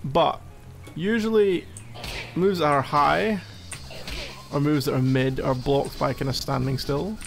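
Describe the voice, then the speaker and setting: A man's voice: fairly high pitch, fairly even intonation, unhurried, vocal fry, faint music behind. A man playing a needy, playfully exasperated gamer boyfriend in a casual, intimate ASMR roleplay, teasing as he talks. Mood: explaining patiently. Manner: teasing, mock-exasperated, conversational.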